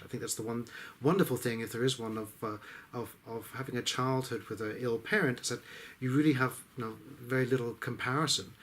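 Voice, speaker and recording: A middle-aged man from the of England, a business coach, telling his life story in a reflective way with frequent pauses and hesitations. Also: medium pitch. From England, Exeter. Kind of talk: personal life story told to camera.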